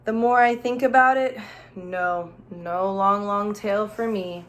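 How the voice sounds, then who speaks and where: A woman teacher reading a picture book aloud to young children, playful and expressive, pausing to ask simple questions and explain. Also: medium pitch. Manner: expressive, playful, with gasps and exclamations. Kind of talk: children's storybook read-aloud with questions and asides.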